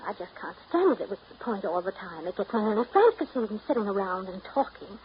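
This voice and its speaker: An actress playing a restless, self-pitying woman afraid of getting old, pleading emotionally and haltingly in 1930s radio drama style. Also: medium pitch, sing-song, close to an everyday voice. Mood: frantic self-pity. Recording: muffled, noisy 1930s radio broadcast recording.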